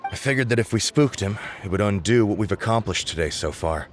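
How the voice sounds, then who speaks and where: An actor playing an earnest, dutiful agent in a video game, reporting in a serious, deferential way. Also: male voice, lowish voice, animated, a little fast, slight background noise, close to an everyday voice. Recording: music playing underneath.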